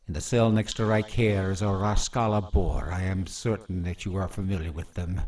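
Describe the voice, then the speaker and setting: A man playing a cruel, scheming prince in a fantasy radio play, with a mocking, theatrically menacing and drawn-out delivery. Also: low voice, steady tone, darkish tone, distant mic, natural voice. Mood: sadistic relish. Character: cruel scheming prince, gloating usurper brother.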